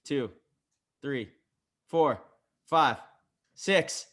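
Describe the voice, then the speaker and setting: A man's voice: on the high side, drawn-out words, fairly bright tone. A man co-hosting a podcast, chatting casually with teasing, joking banter. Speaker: podcast co-host.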